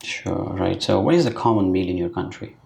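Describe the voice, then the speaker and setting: A man acting as a speaking-test examiner. He asks slow, hesitant questions full of fillers and keeps humming along as the candidate answers. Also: sits low, vocal fry, darkish tone. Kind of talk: mock exam questioning. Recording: echoey room.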